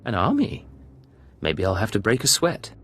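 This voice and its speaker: An actor playing a cocky, curt imperial secret agent in a video game, with a husky voice. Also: male voice, on the low side, wildly swooping pitch, moderate pace, a bit bright. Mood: cool defiance. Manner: curt, self-assured.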